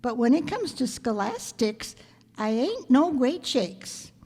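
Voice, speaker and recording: A woman's voice: sits high, big pitch swings, takes it slowly, mellow, slight background noise, full character voice. A woman playing a wry, wisecracking schoolteacher with dry, sardonic delivery in old-time radio comedy style. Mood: dry amusement. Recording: reverberant hall stage recording.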